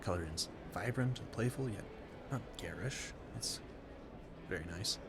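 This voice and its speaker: Polite, awkward gentleman ball guest for audio roleplay, played by a man; deepish creaky voice, effusive, stammering, rambling. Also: expressive, a little slow, very noisy. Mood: charmed, admiring. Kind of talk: audio roleplay addressed to the listener.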